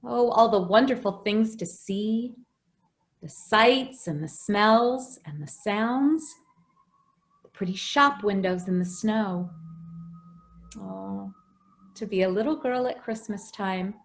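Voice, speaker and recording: A woman playing a gentle, anxious housekeeper who protects a child, hesitant and soothing, in old-time radio drama style. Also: mid-range voice, takes it slowly, long pauses. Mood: wistful, tender.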